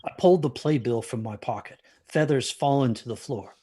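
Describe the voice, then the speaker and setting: An actor playing a haunted older grandfather, husky-voiced, telling a ghost story of his youth with halting intensity. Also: mid-range voice, mellow, natural voice. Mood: haunted, tense. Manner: halting, intense, repeats himself. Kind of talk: dramatic storytelling.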